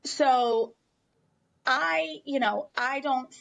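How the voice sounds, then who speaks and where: A woman's voice: high-pitched, very slow, vocal fry. An American woman telling a personal story as an interview guest in a candid, emphatic, conversational way.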